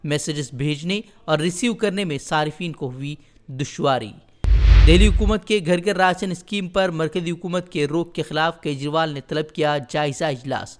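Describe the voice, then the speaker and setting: Male newsreader, fairly high voice, formal scripted delivery, short headline phrases. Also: moderate pace. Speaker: news presenter. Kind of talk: scripted news bulletin narration.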